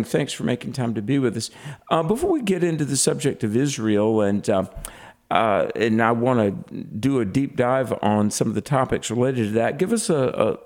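A man's voice: mid-range voice, vocal fry, a little mellow. Guy hosting a radio talk show, earnest, lots of uhs, studio mic. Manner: earnest, with frequent fillers. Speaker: fill-in radio host.

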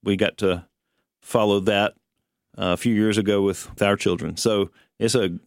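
A man's voice: lowish voice, fairly even intonation, moderate pace, creaky, dry recording, clean studio sound. A middle-aged man co-hosting a religious radio program, talking conversationally about faith. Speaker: co-host.

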